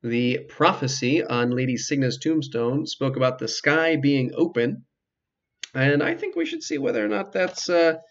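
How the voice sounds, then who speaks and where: A man's voice: a little high, expressive, moderate pace, vocal fry. A man giving casual let's play commentary as the host of a video game playthrough. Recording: slightly muffled sound, music behind. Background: game music.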